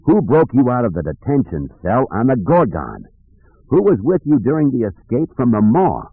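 A man's voice: medium pitch, wildly swooping pitch, normal speed, husky, no room sound, phone-quality sound, pitched above the performer's own voice, heavily acted. A man voicing an urgent, loyal starship pilot with an emphatic, pleading manner in an audiobook.

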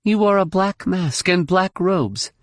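A man's voice: sits high, expressive, a little slow, a bit bright, no room sound, studio quality, voice pushed up. A man voicing a bold young woman warrior, accusing and confrontational, in an audiobook. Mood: challenging. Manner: urgent, confrontational.

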